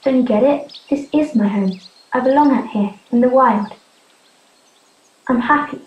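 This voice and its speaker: A woman's voice: on the high side, measured pace, close to an everyday voice. Young woman acting a defiant runaway girl, roomy sound. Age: young adult. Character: defiant, hurt teenage runaway girl living wild. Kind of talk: youth theatre stage drama acting. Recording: muffled, roomy amateur recording.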